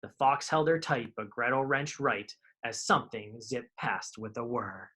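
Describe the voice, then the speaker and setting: A man's voice: mid-range voice, a little slow, bright, forceful tone, slightly rough. A man reading children's picture books aloud in a live storytime, with informal asides to his young listeners.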